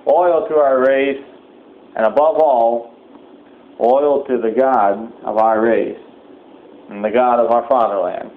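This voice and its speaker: Male preacher, medium pitch, solemn and exhorting but halting; narrow telephone-grade audio. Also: fairly even intonation. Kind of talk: sermon-like address with announcements. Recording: thin phone-like sound, clear background noise.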